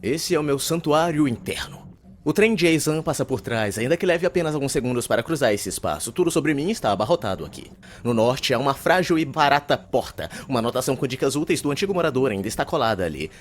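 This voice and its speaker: A man acts out a reclusive young male student with a Brazilian accent, narrating his anime life in pompous, formal sentences. He talks very fast and wordily at a medium pitch, with clear noise behind the voice. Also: expressive, natural voice. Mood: matter-of-fact, wry. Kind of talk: fan-dubbed anime monologue narration.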